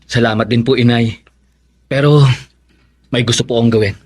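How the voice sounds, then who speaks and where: An actor playing a grateful, studious young son in a Filipino radio drama, with an earnest, polite delivery. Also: male voice, mid-range voice, steady tone, normal speed, close to an everyday voice. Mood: grateful, tender.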